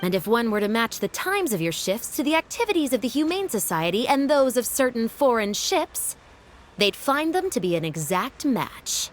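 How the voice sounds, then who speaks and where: A stern, animated patrol captain. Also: female voice, a little high, normal speed, a little hiss or hum. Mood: accusing. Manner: authoritative, formal, declamatory. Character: stern, righteous woman captain of a security patrol. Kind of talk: dramatic video game voice acting.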